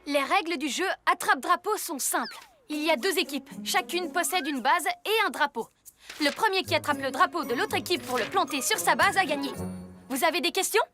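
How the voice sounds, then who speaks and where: An actor voicing an energetic six-year-old boy superhero leader in a French-dubbed children's cartoon, exclaiming with enthusiasm. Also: sits high, brisk. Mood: cheerful. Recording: music under the voice.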